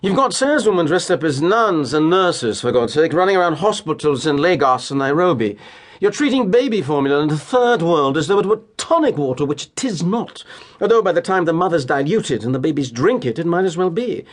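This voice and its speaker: A man's voice: a little high, sing-song, brisk, voice pushed up. An actor voicing an indignant young British man with a British accent in an audio play, sputtering and emphatic with moral outrage. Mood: outraged. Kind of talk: audio drama acting.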